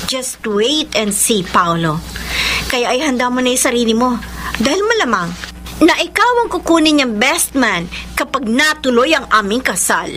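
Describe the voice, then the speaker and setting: A woman's voice: high voice, animated, normal speed, fairly bright tone. An actress playing a scheming young mother in a Filipino radio drama, speaking with a Filipino accent. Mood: smug. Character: scheming young mother pressing a paternity claim.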